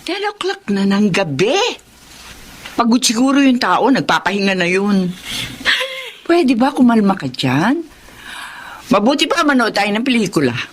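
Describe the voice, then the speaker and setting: A woman's voice: mid-range voice, a little slow, pauses often. A woman playing an exasperated, protective older sister in a Filipino radio drama, with a Filipino accent. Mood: scolding, reassuring. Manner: scolding, expressive.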